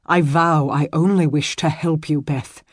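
Casting: woman as commanding yet gentle medieval nobleman for historical audiobook, low register, formal old-fashioned diction, husky tone. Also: fairly even intonation, a little slow, no room sound, studio quality. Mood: earnest, soothing. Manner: formal archaic phrasing, earnest, emphatic. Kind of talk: historical romance audiobook character acting.

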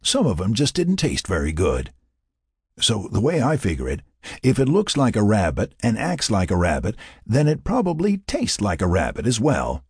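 A gruff, hot-headed male hunter and settler in an audiobook, acted by a male narrator. He is folksy and argumentative, with a raspy voice that swings widely in pitch. The sound is dry. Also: wildly swooping pitch, moderate pace, no echo, heavily acted. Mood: cocky, folksy. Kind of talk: audiobook character dialogue.

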